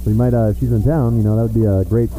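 A man's voice: on the low side, fairly even intonation, very fast, warm tone, a little hiss or hum, poor audio. A man working as a radio DJ, chatting casually with listeners who phone in. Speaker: radio DJ answering the station phone. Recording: 1990s reel-to-reel tape, faint music behind.

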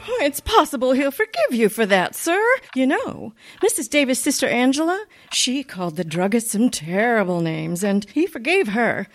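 A woman playing a quick-witted, wisecracking female high school teacher with dry manners in a radio comedy. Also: sing-song, soft background music. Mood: placating, wry. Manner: dry, wisecracking, deferential on the surface. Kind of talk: old-time radio comedy acting.

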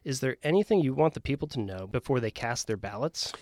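A man's voice: medium pitch, expressive, fast talker, a little mellow, dry recording, studio quality. A man hosting a comedy improv game show podcast with a wry, playful mock-announcer delivery. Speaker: podcast host and game master.

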